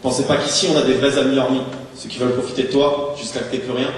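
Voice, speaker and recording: A man's voice: medium pitch, little pitch movement, fast. A man reciting rhymed slam poetry on stage as an embittered homeless drinker, in a rhythmic, declamatory delivery. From France. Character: embittered, washed-up homeless street drinker remembering his youth. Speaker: slam poet. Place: large theatre hall, live on stage. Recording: echoey hall, distant mic, faint noise.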